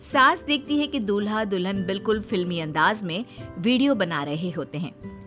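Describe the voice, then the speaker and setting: A woman's voice: mid-range voice, expressive, normal speed, fairly bright tone. A woman narrating a scripted comic story, chatting directly to her listeners. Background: music.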